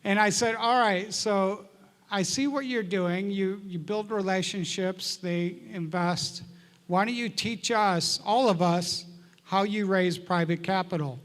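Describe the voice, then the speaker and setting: Male host, high voice, chatty introduction, live seminar, echoey hall. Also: unhurried, a bit bright. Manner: chatty, storytelling, builds up to applause. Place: large seminar room.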